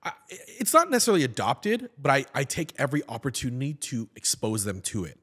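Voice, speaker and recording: A man in his thirties, a guest on a podcast, talking casually in a studio with a boom mic, animated and full of restarts. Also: a little fast, breathy edge. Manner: animated, gesturing, with many repeated words and restarts. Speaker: podcast guest, actor and comedian. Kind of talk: casual interview chat. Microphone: studio mic on a boom stand.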